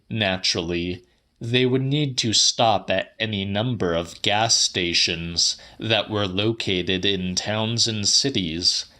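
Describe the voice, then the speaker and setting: A man reads literary fiction as a dramatic audiobook. His voice is on the low side and bright, with a firm edge. He takes his time, very slow and deliberate through each phrase. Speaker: audiobook narrator.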